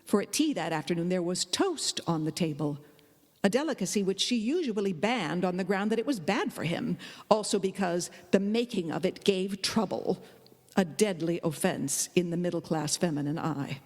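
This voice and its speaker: A woman giving a dramatic live reading of a literary story, with expressive, deliberate phrasing. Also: fairly low pitch. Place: indoor hall, live performance. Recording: live performance in a reverberant hall.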